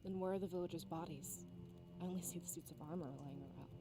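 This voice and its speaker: A woman's voice: lowish voice, fast, over loud music, close to an everyday voice. Firm, pragmatic fantasy heroine voiced by a woman. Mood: matter-of-fact. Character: pragmatic, firm female fantasy companion, argumentative. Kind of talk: visual novel character acting. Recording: loud background noise and ambience.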